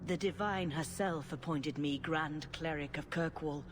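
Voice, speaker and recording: A woman playing a patient, elderly high priestess in a video game, speaking in a measured, gentle and solemn way. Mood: gentle, reminiscing. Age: older. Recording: clear ambient noise behind voice.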